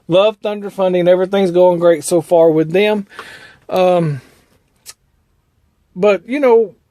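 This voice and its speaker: High-voiced folksy trucker man. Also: dark tone, no room sound, clean studio sound. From United States. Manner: folksy, plainspoken, meandering. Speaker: owner-operator truck driver. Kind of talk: casual vlog talk.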